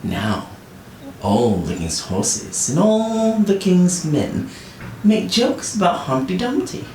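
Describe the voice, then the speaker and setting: A woman reading a children's story expressively as a teacher, with deliberate phrasing and dramatic pauses. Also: lowish voice, very animated, dark tone. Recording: roomy, distant, faint noise.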